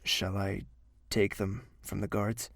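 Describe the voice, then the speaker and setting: Man acting a gravelly, husky, earnest hero. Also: on the low side, little pitch movement, slow pace, fairly bright tone, no echo, heavily acted. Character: earnest, devoted man narrating his own story. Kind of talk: audio drama acting and first-person narration.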